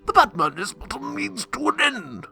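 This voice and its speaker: A man's voice: very high-pitched, big pitch swings, moderate pace, gravelly, bright, forceful tone, faint music behind, exaggerated voice. A man playing a dry, sardonic, loyal butler and mentor in a radio drama, speaking formally with wry admonishment. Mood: earnest admonishing.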